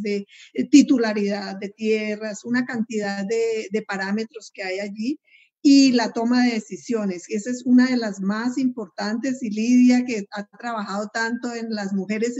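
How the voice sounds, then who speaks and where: A woman's voice: medium pitch, a little mellow. A woman with a Colombian accent, answering as a webinar panelist and thinking aloud with many fillers and repeated words. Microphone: video call. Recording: dull lo-fi online call audio.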